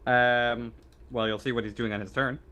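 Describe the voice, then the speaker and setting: Halting, casual man as game master. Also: mid-range voice, steady tone, a crowd in the background. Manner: halting, stammering delivery. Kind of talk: improvised tabletop game narration and rulings.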